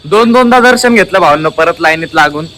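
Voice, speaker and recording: A man's voice: a little high, animated, quick pace, pushed and bright. A young man chatting casually to his vlog viewers in a teasing, matey way. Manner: teasing, addresses viewers as brothers. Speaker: vlogger. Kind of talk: casual vlog chat with friends. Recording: noisy outdoor street with traffic and chatter.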